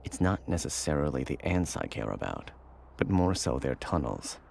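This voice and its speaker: A man playing a haughty, sardonic young intelligence agent in an anime audio drama, with a gravelly voice. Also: rumbling low, big pitch swings, normal speed, darkish tone, slight background noise, full character voice. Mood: dry, sardonic.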